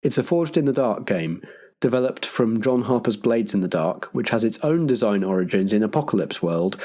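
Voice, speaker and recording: A man's voice: on the low side, expressive, moderate pace, warm tone. A man acting as compere, delivering scripted programme notes as a podcast host.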